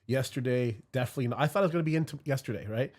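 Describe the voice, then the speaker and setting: A man's voice: medium pitch, racing, darkish tone. Congested male host, chatty and rambling, casual livestream delivery. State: congested from a cold. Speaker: cigar review host.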